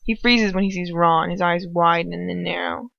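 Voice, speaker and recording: A woman's voice: fairly low pitch, fairly even intonation, creaky, no echo. Woman reading a story emotively, dull recording. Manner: emotive, halting with slips and restarts. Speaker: amateur fan fiction reader. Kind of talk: dramatic first-person story narration. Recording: slightly dull, lo-fi home recording.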